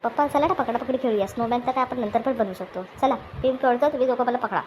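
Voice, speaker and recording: Lady doing an eager young son in a family cartoon comedy, highish childlike voice, bg noise. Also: quick pace, natural voice, poor audio. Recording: clear background noise, ambience.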